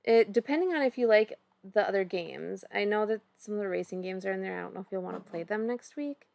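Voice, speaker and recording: A woman's voice: medium pitch, fast talker, creaky, a little mellow. A woman in her thirties with a Minnesota accent, chatting casually at home while playing an online board game and thinking aloud through her moves. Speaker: streamer co-host. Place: home room, indoors.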